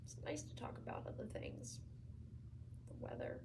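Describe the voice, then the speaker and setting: A woman playing a wistful, regretful patient in a dramatic stage monologue, wry, quiet and breathy, often close to a whisper. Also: medium pitch, big pitch swings, slow, recorded from a distance, noisy background. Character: wistful, dependent patient fond of her nurse, quietly regretful.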